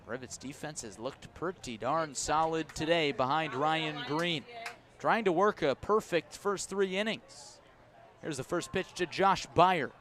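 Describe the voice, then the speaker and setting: An American man doing live play-by-play baseball commentary for radio, measured between pitches and lifting on plays. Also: fairly bright tone. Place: outdoor ballpark broadcast.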